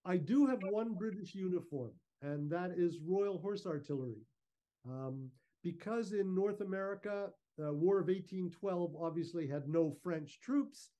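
A man giving an informal, measured, explanatory slide talk over a video call. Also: high-pitched, dark tone. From Canada. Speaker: guest speaker, historical reenactor. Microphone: video call microphone.

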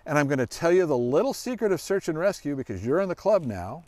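Middle-aged man, slightly high voice, animated intonation, folksy explainer, casual children's demonstration. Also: fast, no echo. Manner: folksy, explanatory, asks the listener questions. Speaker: search dog handler and guest presenter. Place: outdoors in a grassy forest clearing.

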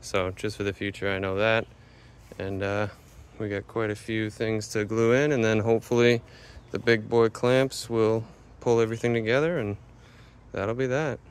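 A man casually talking through a carpentry build as he works, chatty and relaxed. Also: on the low side, moderate pace, clear, resonant tone. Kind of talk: casual build vlog narration. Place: workshop and home interior.

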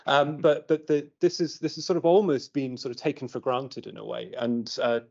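British male academic presenter, informal and halting, mid-pitched voice, muffled lo-fi recording. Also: fairly even intonation, gravelly, a little mellow. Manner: halting, often repeating words. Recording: muffled lo-fi online meeting audio.

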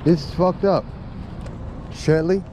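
A man's voice: a little high, fairly even intonation, takes it slowly, mellow. A man in a confrontational street exchange, insistently repeating his questions and accusations as host of an on-camera confrontation. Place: large open parking lot at night. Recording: very noisy outdoor action-camera audio.

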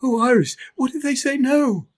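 A man's voice: extremely high pitch, lively intonation, moderate pace, voice pushed up. A man voicing a timid, lonely young male baby elephant in a storybook, hesitant and anxious. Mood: shy, worried.

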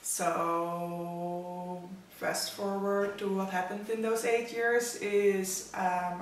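A woman's voice: mid-range voice, slight background noise. Chatty Dutch-accented woman in an echoey room. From Netherlands. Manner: chatty, opinionated about outfits. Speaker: fashion vlogger. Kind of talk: casual talk to camera. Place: living room at home. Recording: echoey room, mic at a distance.

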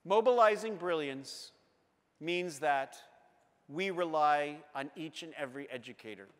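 A man's voice: on the high side, unhurried. A man in his fifties with a Canadian accent, giving an earnest, emphatic conference keynote as a school board director on a lapel mic in a large hall. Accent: Canadian English. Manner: earnest, emphatic, gestures while pacing the stage.

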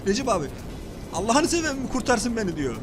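Man, high pitch, highly animated, casual play commentary, repeated short phrases, loud music. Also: fairly quick. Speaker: host of toy-play videos for children.

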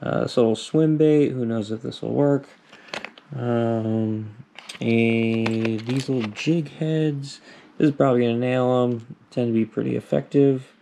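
A young man casually narrating his own vlog, relaxed and rambling with plenty of fillers, recorded on an action camera at home and outdoors by a lake. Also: mid-range voice, measured pace, vocal fry, a little hiss or hum. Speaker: vlogger. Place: apartment indoors, then outdoor park by a lake.

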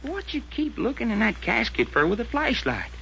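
An actor with a drawl playing a folksy, skeptical cowboy adventurer in a 1940s radio serial. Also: male voice, very high voice, wildly swooping pitch, moderate pace, vocal fry, bright, forceful tone, full character voice. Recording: dull old-time radio recording, faint hiss.

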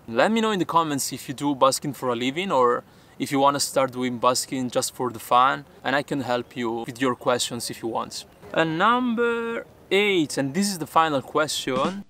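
A man's voice: medium pitch, fairly bright tone, a little hiss or hum. A young man from Peru, a native Spanish speaker, answering viewer questions in careful, earnest English while sitting outdoors by the water. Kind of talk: casual vlog Q&A.

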